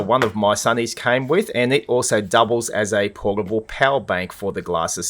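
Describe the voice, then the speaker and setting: A man's voice: mid-range voice, fairly bright tone. A man giving a conversational gadget review, explaining things in an informal, upbeat and colloquial way. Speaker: product reviewer.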